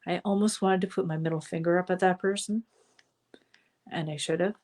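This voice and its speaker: A woman coaching viewers in a casual live stream, chatty, with many fillers, and slightly hoarse from a cold. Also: lowish voice, fairly even intonation, a little fast, a little mellow. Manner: chatty, with frequent fillers and asides.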